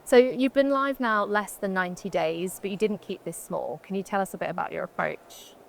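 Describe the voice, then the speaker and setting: A woman's voice: mid-range voice, fairly quick, gravelly, slight background noise. A woman moderating an on-stage interview, asking questions in an upbeat, encouraging way.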